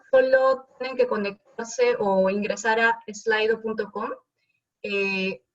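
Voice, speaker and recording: Woman hosting a webinar, distant dull sound. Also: fairly high pitch. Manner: friendly, organizing. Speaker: webinar host. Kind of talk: event introduction. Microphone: video call. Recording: dull distant video-call audio.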